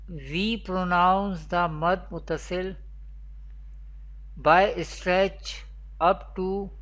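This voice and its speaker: A teacher delivers a scripted lesson on recitation. The pace is slow and measured. The manner is didactic, posing questions and restating the answers step by step. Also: mid-range voice, fairly bright tone. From Pakistan. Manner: didactic, repetitive.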